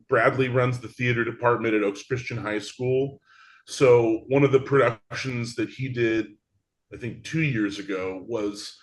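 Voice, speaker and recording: A man's voice: lowish voice, a bit bright. A man talking as a theatre creator guest in a casual interview, long-winded and rambling with frequent restarts.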